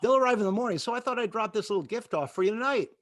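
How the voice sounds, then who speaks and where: An actor playing an earnest, naive biology teacher in an old-time radio comedy, polite and pedantic. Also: male voice, high-pitched, animated, racing, fairly bright tone, no room sound, studio quality.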